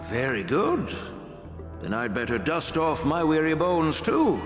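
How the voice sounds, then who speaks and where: An actor playing an old veteran warrior in a video game, formal, deliberate and steady. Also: male voice, fairly high pitch. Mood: sober questioning. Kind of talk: video game cutscene dialogue. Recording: echoey hall, faint music.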